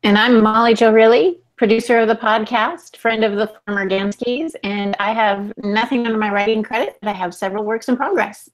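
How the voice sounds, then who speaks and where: A woman's voice: a little high, fairly even intonation, brisk, few pauses, muffled recording. A woman, the podcast's producer, chiming in with playful teasing during casual chat.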